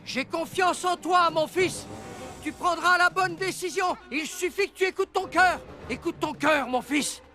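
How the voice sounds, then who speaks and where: A man's voice: very high-pitched, unhurried, bright, forceful tone, faint music behind, heavily acted. A man playing a loving father in a cartoon, speaking in an earnest, heartfelt way. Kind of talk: French cartoon dub acting.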